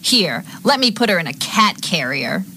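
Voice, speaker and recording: A woman playing a mean captor in a drama, with a deliberate delivery and falsely sweet menace. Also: fairly high pitch, big pitch swings. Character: mean woman falsely soothing, then threatening, her captive. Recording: noisy amateur room recording.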